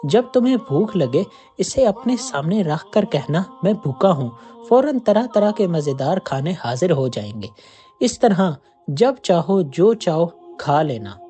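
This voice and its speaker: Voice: mid-range voice, big pitch swings, a little slow, warm tone, soft background music. A storyteller voicing a grumpy but generous male river demon in a storybook tale, exasperated and commanding. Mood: placating.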